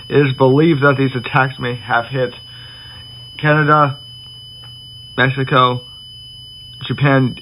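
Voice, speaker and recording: Male amateur as solemn government official announcing the apocalypse, halting and repetitive delivery, mid-pitched, slightly noisy recording. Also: a bit bright, close to an everyday voice. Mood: grave, urgent. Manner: halting, stumbling, repeats himself. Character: grave government spokesman announcing the end of the world. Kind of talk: solemn emergency broadcast address.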